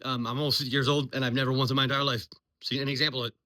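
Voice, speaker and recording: Fast-talking, sharp-voiced man. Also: medium pitch, level tone. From Virginia, US. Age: 40s. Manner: blunt, profane, rambling with many ums. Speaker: military veteran vlogger. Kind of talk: unscripted monologue.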